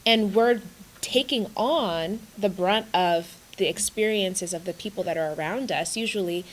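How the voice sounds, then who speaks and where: A woman's voice: medium pitch, a little slow, poor audio. Earnest woman from Uganda. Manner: earnest, emphatic, stretches key words. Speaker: guest coach. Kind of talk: interview conversation.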